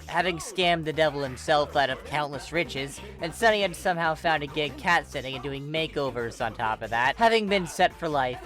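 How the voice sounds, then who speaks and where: Someone reading a funny fanfic, wry and dramatic, mid pitched voice, game music clearly behind. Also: a bit bright. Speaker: fan fiction reader. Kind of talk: expressive comedic story narration. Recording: background music under the voice. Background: video game style music.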